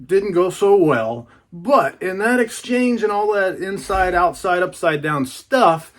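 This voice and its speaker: A man's voice: high voice, lively intonation, takes it slowly. A man giving a casual, chatty unboxing monologue in a folksy, colloquial way, repeating phrases for emphasis. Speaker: book reviewer and YouTube host.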